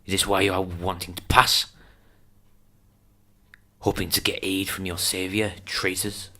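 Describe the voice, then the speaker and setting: A man's voice: deep voice, flat delivery, measured pace, sharp tone, exaggerated voice. A man playing a huge, fanatically loyal monster guard, menacing and accusatory in a declamatory radio drama delivery. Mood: scornful.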